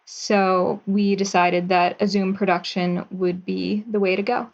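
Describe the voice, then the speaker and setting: A woman's voice: mid-range voice, level tone, unhurried, vocal fry, lo-fi sound. A woman in her twenties, a writer and actress, talking casually and cheerfully as a guest in an interview. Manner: chatty, upbeat, with light laughter.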